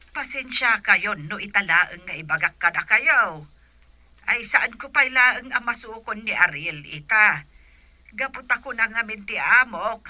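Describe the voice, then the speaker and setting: A woman plays a deferential older village woman in a radio drama. Her voice is very bright and forward, close and dry, apologetic and grateful in tone. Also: medium pitch, no echo, full character voice. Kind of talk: Ilocano radio drama acting.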